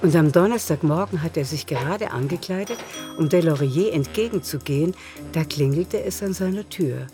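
A woman's voice: low-pitched, moderate pace, over music. A woman narrating a literary radio drama in scripted prose.

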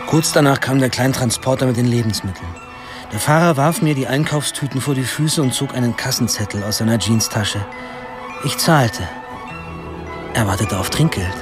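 An actor playing a wry, self-important first-person narrator in a radio drama, dry and ironic. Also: male voice, medium pitch, normal speed, music in the background, natural voice. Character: wry male narrator looking at his own youth, self-important.

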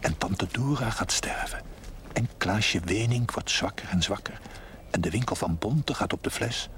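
An actor playing a stern inner voice that reproaches a village chaplain in a deliberate, theatrical television drama monologue. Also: male voice, on the low side, fairly bright tone, a little hiss or hum, lightly acted. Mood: reproachful, ominous.

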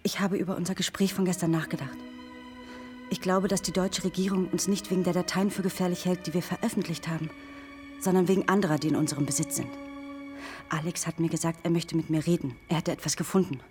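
An actress playing a resolute young activist in a radio drama, speaking earnestly. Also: female voice, lowish voice, steady tone, quick pace, a little mellow, soft background music, natural voice.

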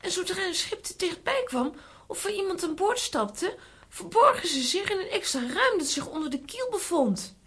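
A woman playing an excitable, plucky young girl in a children's audio drama. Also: very high-pitched, normal speed, exaggerated voice. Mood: eager, explanatory.

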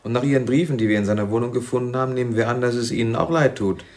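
A man's voice: mid-range voice, steady tone, very fast, close to an everyday voice. An actor in a radio drama playing a suspicious, formal male militant interrogator with a clipped, demanding manner. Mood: formal condolence.

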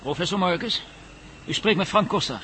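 A man playing an excitable cameraman on the run in a Dutch radio drama, speaking colloquially. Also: high-pitched, moderate pace. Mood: uneasy, conversational. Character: Frank Costa, jittery cameraman on the run. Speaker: actor in a radio play. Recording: noisy old radio play recording.

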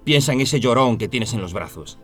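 An actor playing a harsh, contemptuous police officer in a radio drama, barking orders during a night raid. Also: male voice, mid-range voice, animated, brisk, a bit bright, soft background music, lightly acted. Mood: menacing. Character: harsh bullying male police officer, middle-aged.

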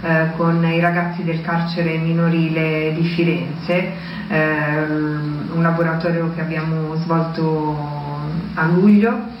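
A man giving a reflective spoken tribute and reading poetry, halting and deliberate, with pauses. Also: fairly high pitch, level tone. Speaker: poetry reader introducing poems at a tribute recital. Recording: roomy, distant, dull with faint noise.

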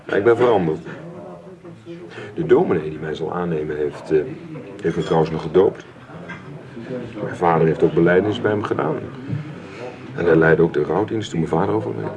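An actor playing an earnest, reflective middle-aged aristocratic father who speaks in a measured, confiding, halting way in a Dutch radio drama. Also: deep voice, gravelly, a crowd in the background.

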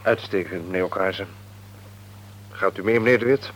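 Low-pitched, smug male office rival. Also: normal speed, fairly bright tone, rough recording. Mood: smugly polite. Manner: smooth-talking, patronising, formal. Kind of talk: Dutch radio play acting. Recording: old radio recording, faint hum and noise.

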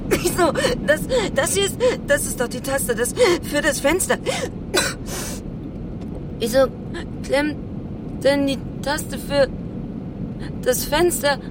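A frantic, stammering young woman chokes and gasps as she struggles inside a moving car. Her voice is high, slow and dragging. It sits back from the mic under a loud engine rumble, as in a radio play. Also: distant mic. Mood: panicked. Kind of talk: German radio drama acting.

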